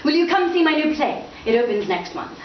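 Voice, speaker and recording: A woman's voice: high-pitched, sing-song, brisk, distant mic, voice pushed up. Woman playing a grandiose older male playwright, echoey room. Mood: huffy, then bright. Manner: grandiose, florid, fussy. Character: melodramatic, self-absorbed older male playwright, pompous father. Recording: muffled, echoey, noisy room from afar.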